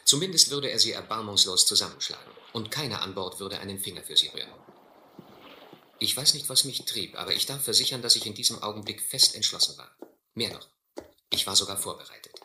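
A man playing an earnest gentleman who narrates his own ordeal at sea in a German radio play, measured and grave. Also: lowish voice, lively intonation, exaggerated voice. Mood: grave, reflective. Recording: roomy, faintly hissy old radio play.

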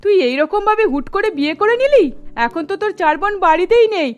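Casting: woman to play melodramatic middle-aged mother-in-law for cartoon dub, high-pitched, emphatic, exclaiming. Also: animated, quick pace, highs cut off. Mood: scolding, shocked. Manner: emphatic, exclaiming, scolding or gushing. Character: scolding middle-aged Bengali mother-in-law, easily won over.

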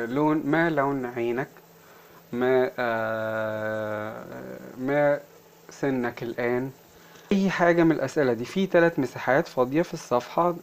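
Egyptian teacher explaining word by word. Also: mid-range voice. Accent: Egyptian Arabic. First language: Arabic. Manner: didactic, repeats phrases slowly word by word. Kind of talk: screen-recorded lesson explaining a children's workbook, translating English into Arabic.

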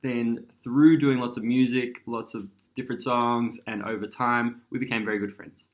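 A man's voice: medium pitch, level tone, moderate pace. An Australian man in his thirties, a musician guest chatting casually with many fillers while he rambles, recorded indoors by a window on a camera at arm's length. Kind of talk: casual chat.

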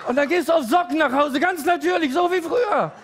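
High-voiced hesitant stand-up comedian. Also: male voice, a faint crowd, a little hiss or hum. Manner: halting, hesitant delivery with many pauses and fillers; deadpan, growing excited and loud toward the end.

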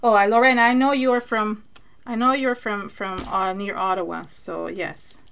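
A woman from Venezuela whose English carries a Spanish accent, talking her way through a live craft demonstration. Her voice has a noticeable gravelly fry, and she chats easily, thinking aloud and replying to viewers as she works. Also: medium pitch, steady tone, normal speed, fairly bright tone, dull audio. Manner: chatty, thinks aloud while working, answers viewer comments.

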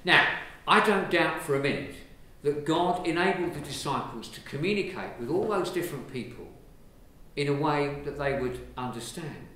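An Englishman, a priest, giving a lively, conversational sermon from the pulpit of a large church, filmed from a distance. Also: on the high side, normal speed. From England. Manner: lively, conversational preaching with gestures. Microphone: far camera. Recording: very echoey church from far off, faint hiss.

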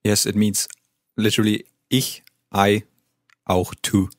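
A man's voice: fairly low pitch, flat delivery, slow pace, no echo, studio quality. German native man, patient teacher. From German-speaking. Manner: patient, models pronunciation. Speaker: language teacher. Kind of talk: language lesson dialogue.

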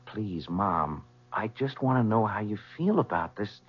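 A man's voice: fairly low pitch, animated, measured pace, breathy edge. A young man acting in a 1970s radio drama as an earnest, confrontational son who is protective of his mother. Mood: pleading, concerned. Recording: muffled vintage radio recording.

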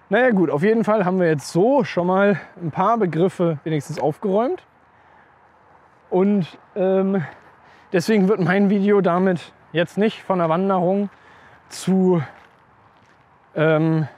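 A man's voice: high voice, a little mellow. A young man talking casually to a handheld camera while walking a rural path, explaining terms with many fillers and restarts. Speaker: outdoor vlogger. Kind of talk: casual explanatory walk-and-talk. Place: outdoors on a rural path between bushes. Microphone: handheld camera at arm's length.

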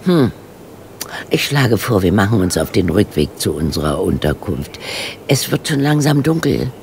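An actress playing a resolute, proper elderly lady detective in a radio play, with a low, gravelly voice and a stern, composed, formal manner. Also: big pitch swings, normal speed, darkish tone, exaggerated voice. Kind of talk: German radio play acting. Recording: reverberant hall, faint background noise.

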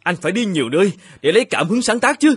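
An earnest, troubled young painter, animated and high-pitched. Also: male voice, fast, husky, no echo, exaggerated voice. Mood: inspired. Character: troubled, earnest male Japanese painter, devoted husband. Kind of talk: animated fairy-tale dubbing.

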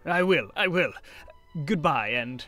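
Casting: male actor as a wistful old professor, highish bright voice, hesitant, with faint music underneath. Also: lively intonation, slow pace, heavily acted. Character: elderly male history professor, wistful and warm-hearted. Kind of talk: film-adaptation audio drama acting.

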